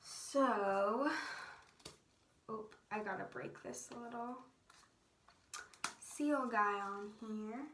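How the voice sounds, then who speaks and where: Young woman vlogger, soft higher-pitched voice. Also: drawn-out words, pauses often, a little hiss or hum. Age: teens to young adult. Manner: gushing, peppered with "like". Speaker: beauty vlogger. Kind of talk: casual chatty vlog to viewers.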